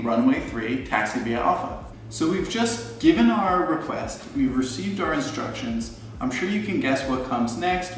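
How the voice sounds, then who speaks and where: An American man in his twenties giving an instructional explanation to camera as a student pilot, recorded in a living room. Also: mid-range voice. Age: 20s. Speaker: student pilot presenting a tutorial. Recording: roomy, echoey, distant, faint noise.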